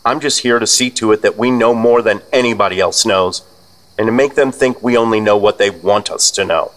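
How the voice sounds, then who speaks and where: An actor playing a senior American secret agent in a radio drama, commanding and clipped. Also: male voice, on the low side, a little fast, close to an everyday voice, low-quality recording. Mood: firm. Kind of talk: 1940s radio drama acting. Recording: loud music behind.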